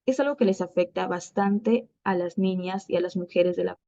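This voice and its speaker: A woman presenting at an online event, speaking haltingly with pauses and restarts. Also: on the low side, gravelly, warm tone, no room sound. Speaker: student presenter. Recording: dull dry lo-fi sound.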